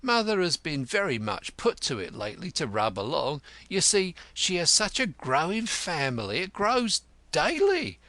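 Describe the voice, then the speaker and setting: A man's voice: a little high, wildly swooping pitch, normal speed, few pauses, bright, forceful tone, no room sound, pitched above the performer's own voice, full character voice. A man voicing a meek, kindly little male cobbler in an audiobook, anxious and placating. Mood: pleading, anxious. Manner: placating, pleading. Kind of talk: audiobook character voice.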